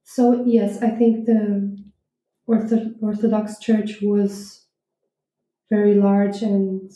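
Soft-toned woman, roomy sound. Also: medium pitch, flat delivery, slow, slight background noise. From Germany. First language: German. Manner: earnest, with hesitant restarts. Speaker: interviewee. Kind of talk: personal history interview. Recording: roomy echo.

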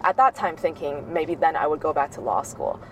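Young American-accented woman, slightly low voice. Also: expressive, fairly quick, distant mic, slight background noise, low-quality recording. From grew up in Shanghai, China. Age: young adult. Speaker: interview guest, corporate innovation manager. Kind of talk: conversational interview answers.

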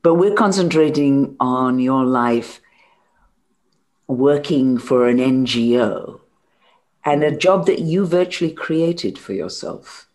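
Slow-paced woman interviewer. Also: rumbling low, expressive, a little mellow. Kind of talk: conversational interview.

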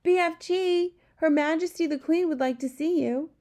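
Woman voicing a bold storybook girl, high-pitched, eager. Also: big pitch swings, moderate pace, dark tone. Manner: eager, pleading.

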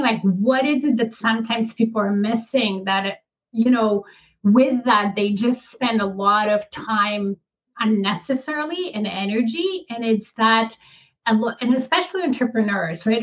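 A woman in her forties with a Polish accent, a business coach speaking as a guest on an interview show; she explains with pauses and laughs easily. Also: a little high, lo-fi sound. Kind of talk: casual interview talk.

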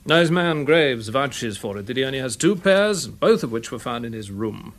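A man's voice: mid-range voice, expressive, moderate pace, lightly acted. A man playing an earnest, methodical English police detective in a radio drama, precise and matter-of-fact. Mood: earnest, explaining. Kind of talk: period radio drama acting.

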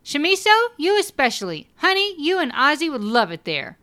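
A man's voice: very high voice, huge pitch swings, normal speed, bright, forceful tone, pitched above the performer's own voice, heavily acted. A man voicing a bubbly, hospitable woman, brassy and reassuring, in audiobook dialogue. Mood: breezy, inviting.

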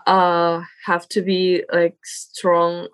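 Woman, young adult, Indonesian native speaker, interview guest, slow unhurried pace, halting speech with many fillers. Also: fairly low pitch, completely flat delivery, clear, resonant tone. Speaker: guest, English learner. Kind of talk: casual interview conversation.

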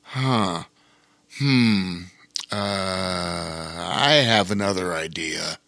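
Man playing a measured, kindly bear king. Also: low-pitched, a bit bright, dry recording, pitched below the performer's own voice, exaggerated voice. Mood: thoughtful.

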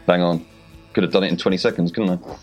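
A man's voice: low voice, steady tone, fast talker, a little mellow, soft background music. A man with a Welsh accent, a podcast guest giving direct, confident advice on interviewing. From Wales. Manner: direct, confident, plain-spoken. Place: home in rural Wales.

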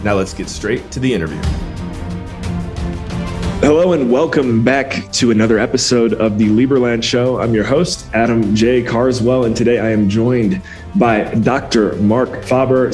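A man's voice: mid-range voice, moderate pace, darkish tone, soft background music. A man hosting a podcast, enthusiastic and friendly as he introduces the show and asks questions. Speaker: podcast host.

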